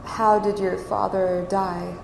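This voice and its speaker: An actress playing a documentarian in an audio drama, calmly putting brief, matter-of-fact questions to her subject. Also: mid-range voice, slow pace, creaky, a little hiss or hum, poor audio. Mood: calm, curious. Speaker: documentary interviewer. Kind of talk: naturalistic audio drama acting as interviewer.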